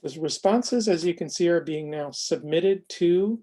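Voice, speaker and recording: A man with a moderately high voice gives an informal software demonstration. He speaks haltingly, working out his points as he goes. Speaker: presenter of a software demo. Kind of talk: informal online demo presentation.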